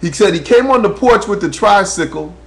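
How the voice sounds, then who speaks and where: A man's voice: sits high, lively intonation, a little fast, gravelly. A man from New Jersey, a talk radio host, speaking an earnest, preacher-like webcam monologue that rises as he tells his own story. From New Jersey, USA. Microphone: webcam microphone.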